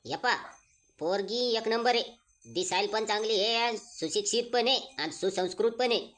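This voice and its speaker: A man's voice: high-pitched, normal speed, fairly bright tone. A man with a rural Maharashtrian accent playing an eager, plain-spoken village matchmaker in a comic cartoon, persuasive and full of folksy exclamations. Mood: eager selling.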